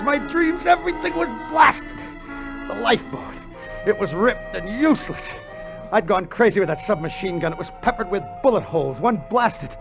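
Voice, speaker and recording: A man's voice: high-pitched, creaky. An actor playing a greedy, scheming sailor who narrates his own plot with hard-boiled intensity in a 1950s radio drama. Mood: desperate anguish. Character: greedy, scheming sailor turning frantic and crazed. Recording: muffled vintage radio recording with music.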